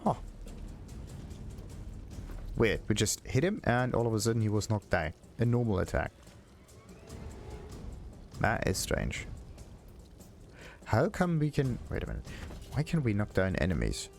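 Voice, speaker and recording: A man's voice: on the low side, very animated, measured pace, vocal fry, pauses often. A man doing casual let's play commentary, thinking aloud to himself with game music behind him. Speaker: gaming video host. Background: video game music.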